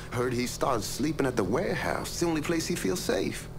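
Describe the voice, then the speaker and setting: Gravelly, sly gangland informant. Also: male voice, mid-range voice, very animated, a little slow. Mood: sly, confident. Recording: noisy background ambience.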